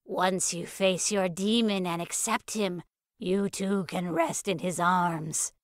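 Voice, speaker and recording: A woman's voice: fairly low pitch, steady tone, slow, no room sound, clean studio sound. A woman playing a laid-back, spacey giver of muddled mystical advice in audio fiction, nonchalant and rambling. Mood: dreamy, nonchalant.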